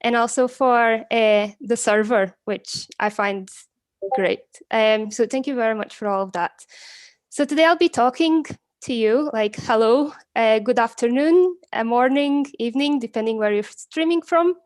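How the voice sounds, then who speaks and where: A woman presents informally at an online conference. Her voice sits a bit high and the sound is very dry. She is chatty, with some hesitation as she phrases things. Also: moderate pace, no echo. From Portugal. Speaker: guest speaker, postdoctoral researcher. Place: online live stream, video call.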